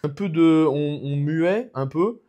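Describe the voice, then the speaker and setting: A man's voice: fairly high pitch, slow, dark tone, highs cut off. Young French guy, fighter guest on a chat podcast, rambling and filler-heavy. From France. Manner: rambling, many restarts and fillers. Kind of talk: casual podcast conversation.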